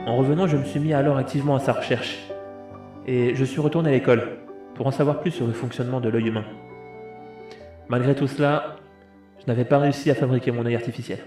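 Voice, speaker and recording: Man acting a thoughtful young man, mellow voice. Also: mid-range voice, steady tone, fast talker, long pauses, faint music behind, lightly acted. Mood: reflective. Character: determined young man telling and living his story. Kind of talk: scripted audio drama, narration and muttering to himself.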